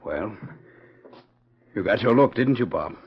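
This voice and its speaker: Weary, husky old cowhand. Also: male voice, fairly low pitch, animated, measured pace, exaggerated voice. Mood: rueful. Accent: American, rural Western. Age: older. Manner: plain-spoken, rueful, resigned. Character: weary older down-on-his-luck cattleman turned outlaw cook, resigned. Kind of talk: 1940s radio western acting. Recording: muffled 1940s radio recording.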